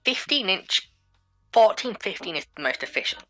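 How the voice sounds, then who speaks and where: A woman's voice: medium pitch, big pitch swings, normal speed, fairly bright tone, dry recording. A woman arguing insistently in a casual technical debate as one of a panel. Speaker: panelist in a group discussion.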